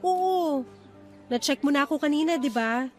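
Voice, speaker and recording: A woman's voice: high-pitched, moderate pace, close to an everyday voice. An actress playing a steadfast, comforting Filipino wife and mother in a Tagalog radio drama. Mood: calm, reassuring. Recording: music under the voice.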